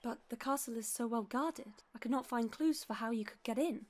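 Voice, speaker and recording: A woman's voice: a little high, brisk, darkish tone, natural voice. A woman playing an earnest, discreet young nun working as an informant in a radio play. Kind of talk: radio play acting, fan production.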